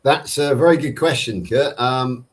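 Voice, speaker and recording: A middle-aged Englishman with a plain Southern English accent talks casually on a live panel. His voice sits at an average pitch for a man. He answers in a wordy way, often circling back and rephrasing. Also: a little slow. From Somerset, England. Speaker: panel participant on a livestream. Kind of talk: casual live chat, answering viewers' questions.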